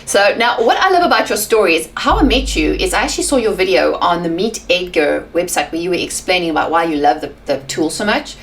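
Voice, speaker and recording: Lively chatty South African woman host. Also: fairly low pitch, quick pace, hardly any pauses. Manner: enthusiastic, chatty, laughing often. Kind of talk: interview.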